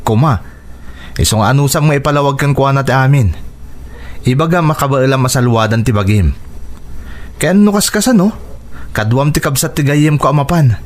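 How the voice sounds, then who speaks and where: A man acting as a helpful older cousin in an Ilocano radio drama. He has a medium-pitched voice with an Ilocano accent and talks in a friendly, persuasive, encouraging way. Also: moderate pace, pauses often, lightly acted. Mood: reassuring.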